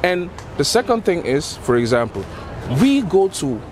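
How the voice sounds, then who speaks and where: A man from Cameroon, answering a street interview in an earnest, halting way that repeats and restarts words. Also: sits high, big pitch swings. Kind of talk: street interview, casual talk. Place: outdoors on a busy city street. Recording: busy street crowd behind. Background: crowd chatter and passing traffic.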